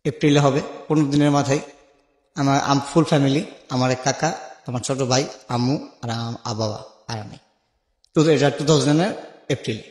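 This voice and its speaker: A man's voice: mid-range voice, moderate pace, darkish tone. A man with a Bangladeshi accent telling a personal life story conversationally, acting out remembered dialogue as he goes. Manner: acts out remembered dialogue while narrating. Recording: echoey with long reverb.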